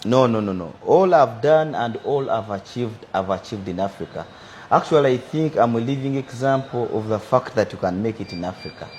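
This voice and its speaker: A young Ugandan businessman in his twenties, answering interview questions in a measured, reflective way. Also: mid-range voice, expressive, darkish tone, a little hiss or hum. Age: 20s. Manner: measured, philosophizing, repeats "for me, I believe". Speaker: businessman guest.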